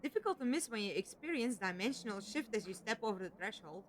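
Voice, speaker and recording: A woman's voice: mid-range voice, expressive, fast talker, lightly acted. A young woman playing a bold, curious companion in an audio drama, eager and cheeky in manner. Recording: noisy mix with effects and ambience.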